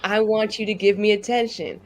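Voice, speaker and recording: A woman's voice: medium pitch, fairly even intonation, normal speed, darkish tone. A woman talking casually and playfully as a player on a tabletop roleplay show, with a theatrical, wordy, mock-pleading manner. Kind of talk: casual, playful group chat.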